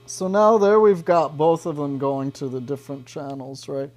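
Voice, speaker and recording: A man's voice: a little high, fairly even intonation, moderate pace, darkish tone. A man explaining a guitar effects pedal step by step in an informal demo, often checking in with the listener. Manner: informal, checks in with "right" and "okay".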